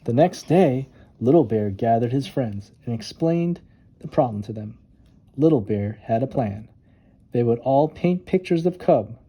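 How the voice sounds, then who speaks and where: A man narrating a children's storybook with expressive, dramatic emphasis on key words. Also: medium pitch, measured pace, husky, rough recording. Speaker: storytime reader.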